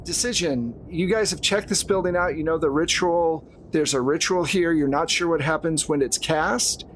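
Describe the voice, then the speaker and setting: A man acting as game master, narrating and explaining an improvised tabletop horror game in a conversational way. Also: on the high side. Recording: clear background noise.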